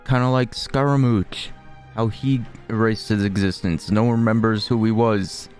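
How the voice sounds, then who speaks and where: A male gaming streamer with a fairly low voice. He comments casually and informally on a playthrough, with soft game music behind him. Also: fairly even intonation, moderate pace. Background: faint game music.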